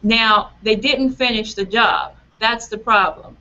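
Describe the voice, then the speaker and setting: A woman in her forties, raspy from allergies, introducing a political talk in an earnest, emphatic way. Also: on the high side, a little slow, pushed and bright. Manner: earnest, emphatic, laughs at own slips. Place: small office room, indoors. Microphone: webcam on a video call. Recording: dull lo-fi webcam sound.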